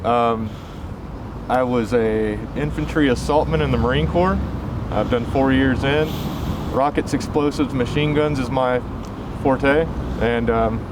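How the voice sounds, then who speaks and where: A man's voice: mid-range voice, unhurried. A man from the United States, a former Marine and volunteer fighter, speaking plainly and earnestly in an outdoor interview. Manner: plain-spoken and earnest, with "um" and "uh" fillers. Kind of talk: on-camera interview. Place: city square outdoors. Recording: noisy outdoor street recording. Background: traffic and street noise.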